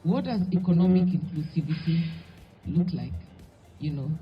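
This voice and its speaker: A woman's voice: fairly low pitch, normal speed, soft background music. A woman moderating a panel discussion, asking short questions with fillers. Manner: brief, prompting questions with fillers. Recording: dull lo-fi event audio.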